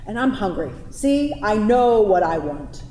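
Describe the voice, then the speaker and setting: In an echoey hall with faint noise, an actress plays a confident woman quarrelling with her lover in a stage play. Her voice is mellow and soft-edged, and her delivery is frank, sardonic and challenging. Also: medium pitch, big pitch swings, a little slow. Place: theatre stage at a live staged reading.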